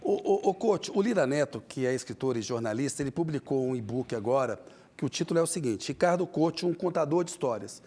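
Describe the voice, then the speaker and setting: A man's voice: mid-range voice, expressive, fast, warm tone. Brazilian man hosting a TV interview. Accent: Brazilian Portuguese. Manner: friendly, prompting questions. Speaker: TV interview host.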